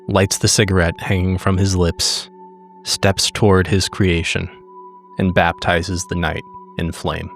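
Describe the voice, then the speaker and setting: A man narrating tabletop horror fiction in a measured, deliberate, literary way. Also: deep voice. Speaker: player narrating his character in a tabletop horror game.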